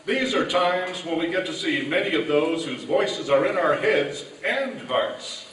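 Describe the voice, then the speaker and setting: A man announces in a scripted, old-time radio style from a live stage. His voice sits fairly high. It carries through an echoey hall, heard some way from the mic with faint noise. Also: lively intonation, a little slow, a bit bright, far from the mic.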